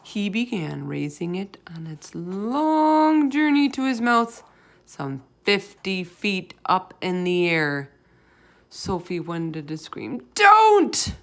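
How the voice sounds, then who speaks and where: Lower-pitched woman reading a children's story dramatically. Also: expressive. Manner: dramatic, drawn-out emphasis. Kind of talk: expressive scripted narration.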